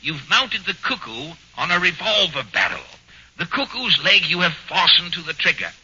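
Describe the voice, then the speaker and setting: Pompous posh detective, husky and bright; muffled 1940s radio sound. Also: male voice, high-pitched, very animated, moderate pace, heavily acted. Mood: deducing. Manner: pompous, clipped, upper-class phrases. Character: pompous male detective, affected upper-class English. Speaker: comedy lead. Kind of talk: 1940s radio comedy acting.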